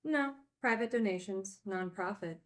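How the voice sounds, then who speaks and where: A woman's voice: mid-range voice, normal speed, lightly acted. Woman plays female recovering addict, group-home teacher; candid, wry, naturalistic film drama, mid-range voice. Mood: wry, guarded. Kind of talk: naturalistic screenplay table read.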